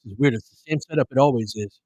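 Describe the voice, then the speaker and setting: A man hosting a live basketball stream, chatting informally with viewers and commentating in a halting way, as if thinking aloud. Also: mid-range voice, dark tone, breathy edge, no room sound, low-quality recording. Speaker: livestream host giving basketball play-by-play. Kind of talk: casual chat with live viewers, game commentary.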